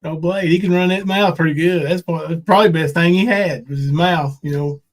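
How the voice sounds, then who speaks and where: A man's voice: a little high, steady tone, brisk. A man in his fifties hosting a podcast, chatting casually about old times with colloquial, folksy banter. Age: 50s. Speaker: podcast host, former pro wrestler. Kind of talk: casual reminiscing chat.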